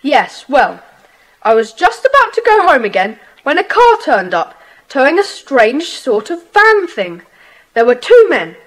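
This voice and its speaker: A woman playing an earnest, adventurous British schoolboy in a children's audio drama, speaking in an animated way. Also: sits high, measured pace, heavily acted, low-quality recording. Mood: earnest, intrigued. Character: earnest, adventurous schoolboy telling his friends things.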